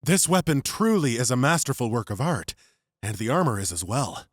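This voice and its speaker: A man's voice: medium pitch, very animated, moderate pace, no room sound, studio quality. A man playing an earnest, modest young warrior in a fantasy audiobook, speaking with a sincere, slightly sheepish manner. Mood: grateful. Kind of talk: dramatized fantasy audiobook acting.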